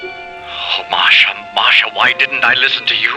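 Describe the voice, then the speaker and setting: A man's voice: mid-range voice, fairly even intonation, unhurried, close to an everyday voice, poor audio. Actor as tormented brooding man over music. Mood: anguished, brooding. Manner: intense, brooding monologue with repeated phrases. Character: guilt-ridden man's brooding inner voice, tormented, unravelling. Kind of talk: 1950s radio drama acting. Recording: vintage radio recording with music underneath.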